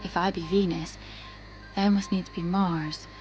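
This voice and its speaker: A woman's voice: fairly low pitch, fairly even intonation, highs cut off, over music, lightly acted. An actress playing a passionate, anxious young noblewoman in love, speaking poetic verse in a classical radio drama. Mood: amorous. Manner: poetic, deliberate verse delivery.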